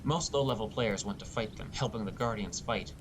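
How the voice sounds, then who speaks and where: Man narrating, noisy rumbling recording. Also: brisk. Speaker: audiobook narrator. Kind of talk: scripted first-person fantasy narration. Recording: rumbly background noise.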